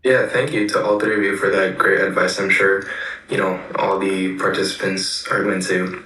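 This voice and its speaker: A man's voice: low-pitched, little pitch movement, normal speed, bright, forceful tone, slight background noise. A man moderating a panel and reading audience questions in a casual way. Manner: casual, filler-heavy. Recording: echoey, distant.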